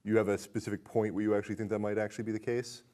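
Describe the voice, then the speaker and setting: A man's voice: fairly low pitch, flat delivery, rapid-fire, noisy background. A man hosting a casual film discussion in a chatty, jokey way. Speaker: podcast host.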